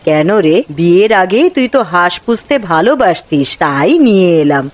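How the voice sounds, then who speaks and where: A woman's voice: fairly low pitch, expressive, moderate pace, close to an everyday voice. A woman voicing a kindly old village grandmother in a cartoon, speaking in an affectionate, folksy way over music. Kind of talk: cartoon voice acting. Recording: music behind the voice.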